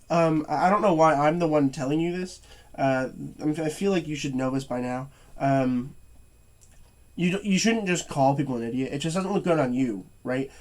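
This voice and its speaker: A young man reviewing movies in a casual rant, tired and stuffy-nosed, stuttering and restarting his sentences. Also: a little high. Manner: stuttering, halting, many fillers and restarts.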